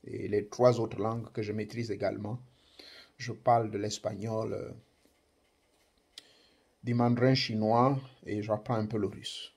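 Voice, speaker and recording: Husky man making an impassioned address. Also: on the low side, little pitch movement, unhurried, long pauses, darkish tone. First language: Ngomienne. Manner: emphatic, declamatory, rising bursts on key words. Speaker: commentator addressing African youth. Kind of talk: impassioned political address to camera.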